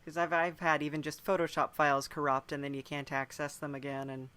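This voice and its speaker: A man's voice: a little high, level tone, a little fast, pushed and bright, clean tone. A man hosting a casual interview chat, speaking in a quirky, hesitant way and often trailing off. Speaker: podcast host, interviewer.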